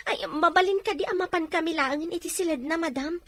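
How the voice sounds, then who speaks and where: Woman doing a worried young ilocano girlfriend type, polite, really high voice, audio drama. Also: quick pace, fairly bright tone, dry recording. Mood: polite, concerned. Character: concerned, loyal young female friend.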